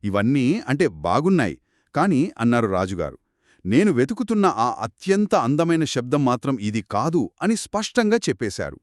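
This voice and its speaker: A man telling a children's story in a conversational, expressive and explanatory way, as one of two narrators. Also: medium pitch, normal speed, no echo, clean studio sound. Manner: expressive, explanatory, acts out characters' quoted lines.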